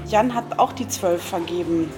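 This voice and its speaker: A woman's voice: fairly low pitch, moderate pace. Woman receptionist talking over music. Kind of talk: informal service conversation. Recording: clear music behind the voice.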